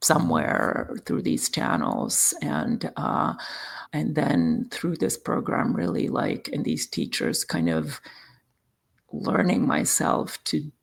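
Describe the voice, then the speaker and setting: Gravelly, animated man. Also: on the high side. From Serbia. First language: Serbian. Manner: halting, many fillers and restarts. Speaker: guest, sound healing practitioner. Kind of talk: personal storytelling in conversation.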